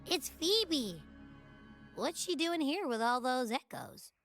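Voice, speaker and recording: A small, impish creature companion from a video game. The voice is high and piercingly bright, swinging widely in tone with unhurried, childlike playfulness. Music plays underneath. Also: female voice, big pitch swings, full character voice. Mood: playful.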